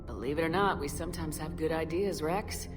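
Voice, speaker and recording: A woman's voice: sits low, a little fast, close to an everyday voice. A woman playing a firm, dry-witted military commander in a video game, terse and commanding. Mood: frank. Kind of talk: video game character dialogue. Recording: music mixed under voice. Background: game music.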